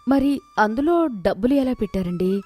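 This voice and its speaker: A woman voicing a gentle, sensible and patient village housewife who speaks politely in an animated moral story. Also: on the high side, normal speed, dry recording, faint music behind. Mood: worried.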